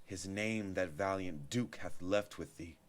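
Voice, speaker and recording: An actor playing a tender, proud young heir, declaiming Shakespearean verse in a lamenting way. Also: male voice, deep voice, measured pace. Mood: grief-stricken.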